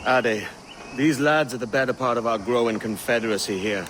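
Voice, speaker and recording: An actor playing a cocky, ambitious young pirate captain in a video game, self-assured and dryly teasing. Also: male voice, medium pitch, normal speed, fairly bright tone, slight background noise, lightly acted. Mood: calm, confident. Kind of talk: video game cutscene acting.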